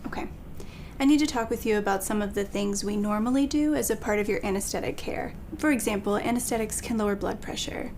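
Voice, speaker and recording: An actor playing a woman doctor who speaks to patients in a calm, reassuring, clinical way in a training dramatization. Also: mid-range voice, a little fast, a little hiss or hum, natural voice. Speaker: physician in a role-play.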